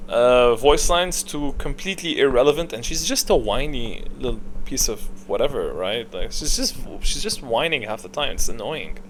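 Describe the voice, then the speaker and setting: A man's voice: medium pitch, lively intonation, moderate pace, few pauses, rough recording. Casual, blunt man over background noise. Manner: opinionated, blunt, slangy. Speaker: gaming video creator. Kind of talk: casual off-the-cuff tier list commentary.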